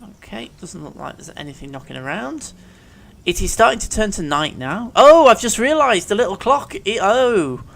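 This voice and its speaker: A man's voice: sits high, lively intonation, normal speed, vocal fry, fairly bright tone. A man with a cold and a sore throat, chatting and thinking aloud as he commentates his gameplay at home. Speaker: let's play commentator. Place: living room at home.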